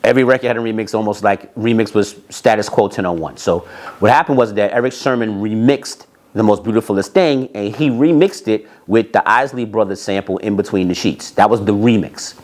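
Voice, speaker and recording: American guy, kinda deep voice, telling old work stories, repeats stuff to make his point. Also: a little fast, darkish tone. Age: middle-aged. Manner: emphatic, repeats phrases for emphasis. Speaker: host, former record label A&R representative. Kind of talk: casual autobiographical storytelling.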